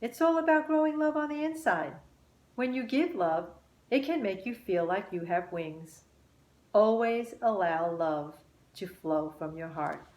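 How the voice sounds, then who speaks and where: A woman voicing a kind, encouraging butterfly mentor in a children's storybook, speaking in a gently instructive way. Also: medium pitch, unhurried, echoey room, natural voice.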